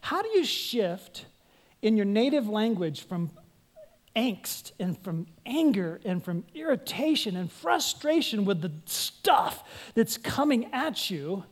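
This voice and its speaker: A woman's voice: medium pitch, sing-song, takes it slowly. A woman pastor delivering an earnest sermon, putting questions to her congregation and pressing her points.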